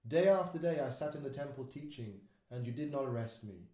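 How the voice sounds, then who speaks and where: A man voicing Jesus as a calm, grave teacher in a dramatised scripture reading, speaking in a measured, solemn way. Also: mid-range voice, close to an everyday voice. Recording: echoey room.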